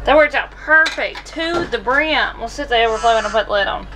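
A woman's voice: a little high, expressive, brisk, loud music behind the voice, poor audio. An American woman in her twenties casually talking through a cooking demonstration as a vlog host, recorded on a camera in a small indoor kitchen. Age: 20s.

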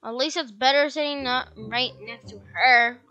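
A woman's voice: high voice, normal speed, pushed and bright, dull audio. A woman voicing a disgruntled, grumbling young sibling who whines and complains in an amateur gaming roleplay. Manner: complaining, whiny. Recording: background music under the voice.

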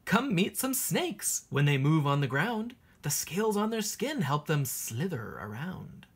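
Female storybook reader: unhurried, sing-song teacher delivery, strongly animated intonation, breathy husky tone. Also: low voice. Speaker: teacher reading a children's book aloud. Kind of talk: expressive picture-book read-aloud for young children.

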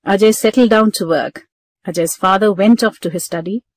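A woman's voice: mid-range voice, moderate pace, dry recording. A woman narrating a scripted children's story.

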